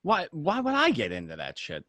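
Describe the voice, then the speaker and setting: A man's voice: fairly high pitch, huge pitch swings, moderate pace, sharp tone, no echo, full character voice. A man playing a defensive, hustling gangster in an amateur radio drama read, insistent and stammering over repeated words. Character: defensive, hustling young male gangster, eager to reassure.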